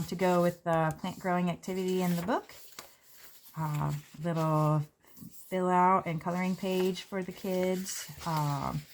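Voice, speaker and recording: A woman, a children's book author, reading her picture book aloud and walking through its pages in a gentle, explanatory way. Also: on the low side, a little hiss or hum. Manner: gentle, explanatory, with light fillers. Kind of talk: read-aloud and book walkthrough. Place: indoors at a table.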